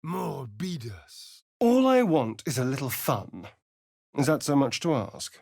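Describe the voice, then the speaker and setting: A man's voice: mid-range voice, big pitch swings, unhurried, creaky, a little mellow, dry recording, studio quality, full character voice. An actor playing a sly, vain male vampire rogue in a video game, with an arch, sardonic, theatrical drawl. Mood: commanding incantation. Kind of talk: video game character voice lines.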